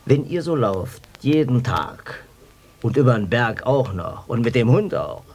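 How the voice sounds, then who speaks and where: Radio play: provoking, embittered villager, very animated melody, drawn-out halting taunts. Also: male voice, mid-range voice. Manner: halting, taunting, rhetorical questions. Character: bitter, provoking villager, loudly accusing. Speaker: radio drama actor. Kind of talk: 1980s German radio play acting.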